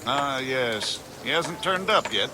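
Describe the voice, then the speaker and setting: A man's voice: medium pitch, animated, slow. A man voicing a sheepish, hesitant gate guard in a medieval video game, evasive in manner. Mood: nervous, evasive. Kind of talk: dramatic game cutscene dialogue. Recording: clear background ambience noise.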